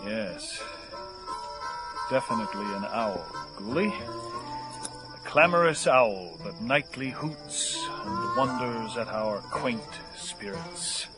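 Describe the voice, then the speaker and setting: A menacing, unhinged fugitive for a horror radio play. His delivery is very animated, swinging widely in pitch, with mannered, mock-courteous phrasing. Music plays clearly behind him. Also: slow pace, low-quality recording. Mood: rambling, sardonic. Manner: mock-polite, florid, mannered speech. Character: deranged, theatrical, menacing fugitive gunman quoting poetry. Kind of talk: audio horror drama acting.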